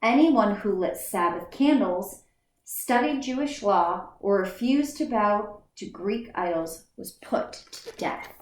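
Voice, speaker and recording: A woman's voice: mid-range voice, animated, slow pace. A woman teacher reading a children's story aloud in a careful read-aloud manner, with the odd slip and restart. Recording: echoey room.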